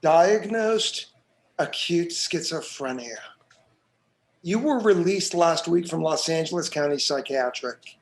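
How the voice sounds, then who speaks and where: A man's voice: a little high, slow pace. A man playing a hard, scornful police detective in a drama table read, accusatory and sarcastic.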